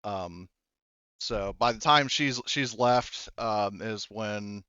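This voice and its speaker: A man with a low, smooth voice works as a tabletop game master. He explains in a halting, conversational way, trailing into asides as he thinks aloud. The sound is close and dry, slightly dull on a lo-fi microphone. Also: pushed and bright, no room sound.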